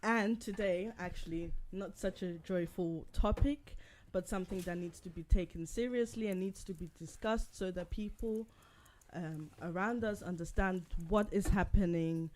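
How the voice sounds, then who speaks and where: A woman from Switzerland hosting a casual group podcast, asking quick questions and laughing often. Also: on the low side, darkish tone, a little hiss or hum. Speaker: podcast host. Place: indoor podcast recording.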